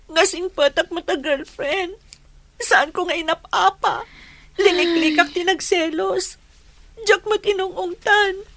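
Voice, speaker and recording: Very high-pitched heartbroken young Ilocano woman. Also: measured pace, a bit bright, no echo, full character voice. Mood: tearful. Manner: plaintive, tearful. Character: heartbroken young woman, tearful, pleading, just dumped by boyfriend. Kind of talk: emotional radio drama acting. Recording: music bed under the voice.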